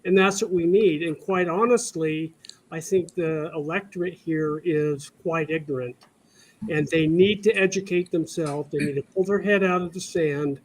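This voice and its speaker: A man's voice: fairly high pitch, normal speed, poor audio. A man giving earnest, blunt political commentary off the cuff as a panelist.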